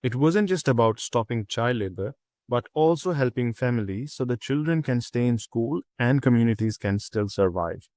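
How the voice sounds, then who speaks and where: A young man from Pakistan, a student, reading a prepared reflection in a steady, earnest way with small restarts. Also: mid-range voice, normal speed, no echo, clean studio sound.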